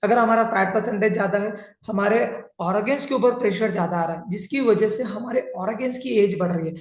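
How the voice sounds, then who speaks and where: A man's voice: high voice, a little fast. Man explaining health in a roomy echo. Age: 30s. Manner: plain, patient explaining with many English terms. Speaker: fitness and health coach.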